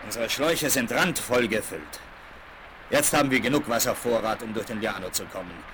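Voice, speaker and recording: An actor playing a calm, commanding frontier hero in a children's western radio play, speaking in measured, articulate phrases. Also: male voice, fairly low pitch, natural voice. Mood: calm, practical. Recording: crackly vinyl rip of radio play.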